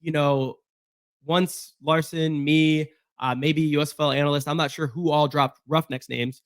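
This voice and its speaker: A hoarse man with a fairly high voice talks through a football roster on his own, rambling with fillers and restarts. The sound is dry and close, with no room around him. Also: steady tone, unhurried, no room sound. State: hoarse from being sick. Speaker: sports podcast host. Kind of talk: casual solo sports breakdown.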